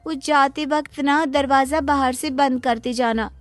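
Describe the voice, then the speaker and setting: A woman's voice: high voice, fairly even intonation, a little slow, no room sound, faint music behind. A woman voicing a lazy, spoiled college-age daughter in a Hindi cartoon, self-absorbed and sulky, with a whiny drawl. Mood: languid. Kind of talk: Hindi cartoon moral story acting.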